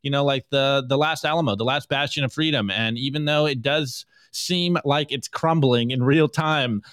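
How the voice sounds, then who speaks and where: A man with an American accent, co-hosting a podcast in an upbeat, excitable way full of fillers. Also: medium pitch, normal speed, dry recording. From United States. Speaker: co-host. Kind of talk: casual podcast chat.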